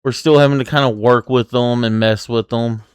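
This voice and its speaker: A man's voice: medium pitch, steady tone, normal speed, smooth, no room sound, clean studio sound. A young man co-hosting a casual podcast, teasing and joking with friends. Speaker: podcast co-host. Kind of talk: casual chat.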